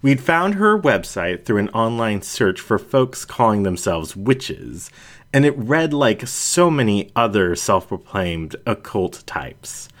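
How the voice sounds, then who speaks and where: A man narrating a first-person story from a script in a measured, deliberate way with emphatic phrasing. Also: fairly low pitch, sing-song. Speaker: audiobook reader.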